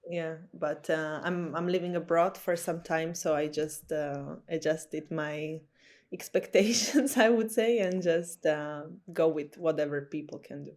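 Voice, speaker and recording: A woman's voice: deep voice, measured pace, a little mellow. A woman, a podcast guest speaking English hesitantly with frequent fillers. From Slovakia. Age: 20s. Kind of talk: casual interview talk.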